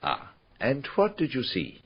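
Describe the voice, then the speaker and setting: An actor playing a shrewd, courteous, playful foreign detective in a radio drama, with polite, formal phrasing. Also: male voice, medium pitch, wildly swooping pitch, unhurried, slightly rough, heavily acted. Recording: dull lo-fi radio drama audio.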